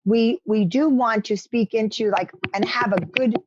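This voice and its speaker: A woman's voice: fairly high pitch, moderate pace, highs cut off, slight background noise. A woman from New York with a New York accent, giving media-training advice to participants on a video-call talk show, coaching them by name. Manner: coaching, direct, addresses attendees by name. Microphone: headset on a video call.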